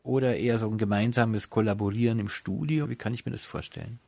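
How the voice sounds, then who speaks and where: A man interviewing a guest in a conversational cultural radio style, asking measured, inquisitive questions. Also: on the low side, fairly even intonation, vocal fry, warm tone, no echo.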